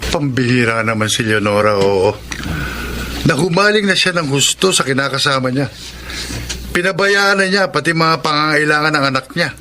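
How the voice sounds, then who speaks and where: A man's voice: a little high, normal speed, over music, close to an everyday voice. A man playing a stern, disapproving middle-aged older brother who scolds insistently in a radio drama. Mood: exasperated. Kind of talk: Tagalog radio drama acting.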